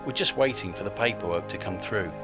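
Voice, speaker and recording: A man's voice: lowish voice, fast, over music, close to an everyday voice. A man playing a smitten, persuasive property developer in a radio drama. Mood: persuasive, flirtatious.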